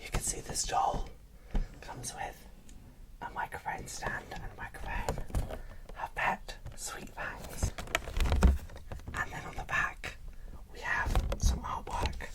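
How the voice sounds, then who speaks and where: An ASMR host speaking in a breathy whisper, giving casual unboxing commentary slowly and softly. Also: far from the mic, rough recording. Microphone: microphone set off to one side.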